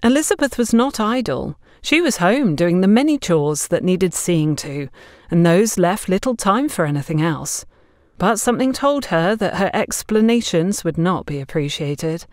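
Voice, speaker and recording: Woman reading an audio story, lowish voice, really varied pitch, measured and expressive, dry sound. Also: no echo. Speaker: narrator. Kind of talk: scripted audio story narration.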